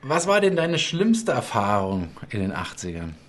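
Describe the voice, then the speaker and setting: A man in his forties hosting an interview over a video call from a home studio, chatty and lively when he tells anecdotes. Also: mid-range voice, normal speed. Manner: chatty storyteller, animated when telling anecdotes. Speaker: talk show host. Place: home studio room with bookshelves and colored lights.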